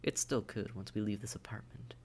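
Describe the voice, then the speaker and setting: A man playing a taunting, predatory vampire in a roleplay audio drama, with mock-tender menace and breathy, coaxing speech. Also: lowish voice, fairly even intonation, fairly quick, darkish tone, close to an everyday voice. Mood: menacing, hypnotic. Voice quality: breathy, often whispered, creaky. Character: silky, taunting, predatory vampire toying with captive hunter. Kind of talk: immersive roleplay audio drama, often whispered.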